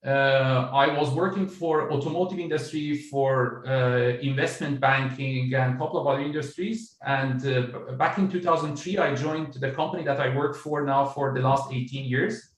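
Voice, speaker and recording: A man's voice: mid-range voice, steady tone. Iranian-born man, roomy distant call audio. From Iran. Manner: measured, explanatory, frequent uh fillers. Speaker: author and business coach. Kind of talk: interview answers over video call. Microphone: video call.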